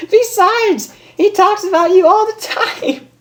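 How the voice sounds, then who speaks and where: A woman voicing a bubbly, mischievous female cartoon pony in an excitable, playful, sing-song way. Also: very high-pitched, takes it slowly, voice pushed up, heavily acted. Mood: teasing, cheerful.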